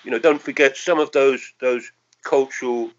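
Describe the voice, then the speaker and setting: A man's voice: mid-range voice, fairly even intonation, normal speed. Reflective older London man, muffled recording. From London, England. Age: 60s. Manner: reflective, hesitant, circling back to qualify points. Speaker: interviewee. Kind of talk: reminiscing in an oral history interview.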